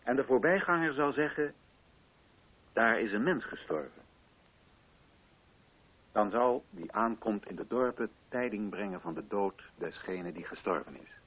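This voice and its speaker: A solemn colonial official in a radio drama, declaiming to assembled chiefs. His phrasing is rhetorical and deliberate, with wide swings in pitch. The sound is husky and narrow, like an old muffled broadcast. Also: lively intonation. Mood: hushed, reverent. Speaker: actor in a radio play. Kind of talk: declaimed theatrical oratory in a radio play.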